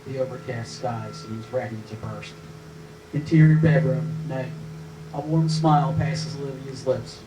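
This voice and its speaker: A man's voice: mid-range voice, fairly even intonation, a little slow. A man narrating stage directions and scene headings at a screenplay table read. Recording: echoey room with clear background noise.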